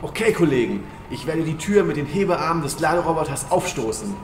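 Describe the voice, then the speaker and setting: A man's voice: fairly high pitch, very animated, brisk, a little hiss or hum. Eager space-crew leader acted by a man, echoey. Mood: eager, decisive. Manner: eager, take-charge. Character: upbeat podcaster leading an alien hunt. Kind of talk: amateur sci-fi comedy audio drama acting. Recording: echoey, distant sound with music behind.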